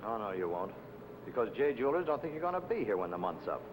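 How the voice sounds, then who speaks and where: A man's voice: sing-song, quick pace. A man playing a blunt, condescending investigator in a 1950 film noir, leaning hard on a debtor. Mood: stern, lecturing. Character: hard-nosed, threatening male debt-collection investigator. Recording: noisy old film soundtrack, traffic rumble.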